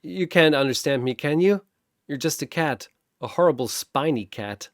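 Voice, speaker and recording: Man playing Guybrush, a high-pitched hapless pirate. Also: lively intonation, normal speed, dry recording, studio quality. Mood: nervous pleading. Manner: rambling, pleading, blustering. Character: hapless young male pirate, nervous, theatrically indignant.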